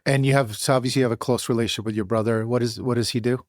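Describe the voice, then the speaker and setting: A man hosting an interview podcast, speaking earnestly in deliberate, emphatic phrases. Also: medium pitch, fairly even intonation, no echo, studio quality. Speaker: podcast host.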